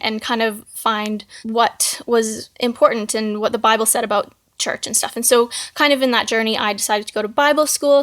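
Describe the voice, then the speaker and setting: A woman's voice: fairly high pitch, fairly bright tone. Canadian woman, easygoing podcast co-host. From Manitoba, Canada. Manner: easygoing, lots of ums and likes. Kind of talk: casual faith podcast chat.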